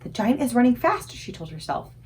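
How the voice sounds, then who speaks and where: A woman reading a children's story aloud expressively, with dramatic, suspenseful emphasis and deliberate pauses. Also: a little high, very animated, a little mellow, slight background noise. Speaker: librarian reading aloud for a book club.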